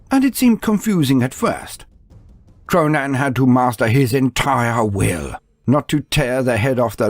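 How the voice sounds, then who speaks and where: A man's voice: medium pitch, husky. A man narrating a fantasy story in a dramatic, theatrical, grandiose style with wry asides. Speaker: narrator of a fantasy lore video. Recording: music under the voice. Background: background music.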